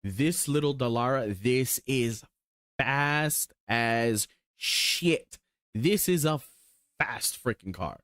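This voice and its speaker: Man, 40s, casual rambling streamer, excitable, salty language, dry close sound. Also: medium pitch, takes it slowly, no echo. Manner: rambling, excited, swears casually, lots of ums. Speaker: sim racing streamer. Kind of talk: casual chat while showing cars.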